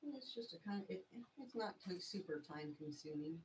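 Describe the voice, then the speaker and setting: A woman's voice: fairly low pitch, lively intonation, brisk, darkish tone. A middle-aged woman from Texas with a scratchy voice from being sick, chatting casually as she demonstrates a craft project on a home livestream in a small craft room, filmed by a camera across her worktable. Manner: chatty, rambling, stops to explain each step. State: scratchy voice from illness.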